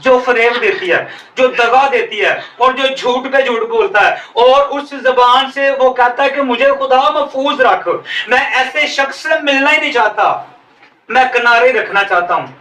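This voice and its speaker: High-voiced pastor preaching with Pakistani Urdu accent. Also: male voice, pushed and bright, a little hiss or hum. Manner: preaching, drawn-out emphatic phrases. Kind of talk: sermon. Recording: echoey room, voice from some distance.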